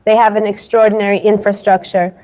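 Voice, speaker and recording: A woman travel vlogger explains things at a thoughtful, easy pace, with a soft, mellow tone. Her nose is stuffy and her throat is sore. She is recorded close on a noise-cancelling clip-on mic at an airport. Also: mid-range voice, little pitch movement, fairly quick, rough recording. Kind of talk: casual travel vlog chat. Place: busy airport terminal. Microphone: wireless clip-on mic with noise cancelling.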